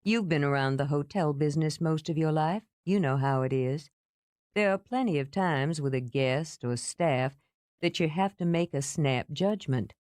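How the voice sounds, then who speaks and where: Woman voicing a calm steady man, low, reassuring, dry close sound. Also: normal speed, no room sound, natural voice.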